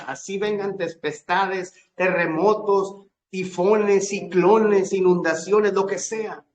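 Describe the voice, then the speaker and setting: A man preacher leading a fervent, solemn prayer in emphatic short phrases with long pauses. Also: on the high side. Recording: echoey room.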